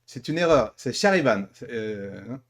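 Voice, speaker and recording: A man's voice: mid-range voice, lively intonation, moderate pace. Hesitant, informal male interviewer. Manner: informal, hesitant, stumbling over words and correcting himself. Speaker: interviewer and host. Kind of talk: casual podcast-style interview.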